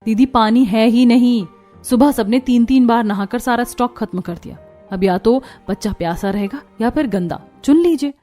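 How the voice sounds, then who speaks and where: A woman's voice: on the high side, normal speed, dark tone, faint music behind, close to an everyday voice. A woman voicing an overworked, complaining young daughter-in-law in a cartoon story. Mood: scolding. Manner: complaining, sarcastic. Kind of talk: Hindi cartoon story acting.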